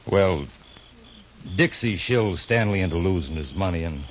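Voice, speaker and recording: An American actor playing a surly cowhand henchman in a 1950s radio western, talking in a gruff, grudging, folksy way. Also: sits low, lively intonation, moderate pace. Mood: grudging, confessing. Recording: dull, noisy old radio recording.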